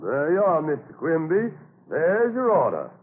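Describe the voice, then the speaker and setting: An actor with an American accent playing a chatty, inquisitive lumber merchant in an old radio drama, talkative and businesslike. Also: male voice, fairly high pitch, huge pitch swings, normal speed, a little hiss or hum. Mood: pleased. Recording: narrow-band, muffled old radio recording.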